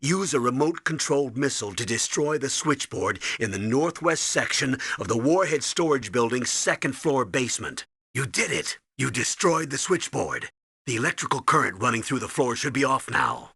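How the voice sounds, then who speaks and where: Male stern game commander, middle-aged, husky, hard-edged, urgent, emphatic, dry studio sound. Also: mid-range voice, animated, normal speed, sharp tone, no echo, heavily acted. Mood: instructing. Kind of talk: video game voice acting.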